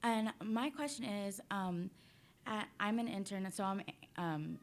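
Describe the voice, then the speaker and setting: A woman's voice: medium pitch, measured pace, a little hiss or hum. A young woman, a congressional intern, asking a question in a chatty way.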